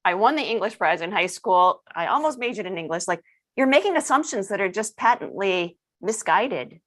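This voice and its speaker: A woman moderating a panel discussion, speaking in a measured, reflective way. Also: mid-range voice, lively intonation, a bit bright.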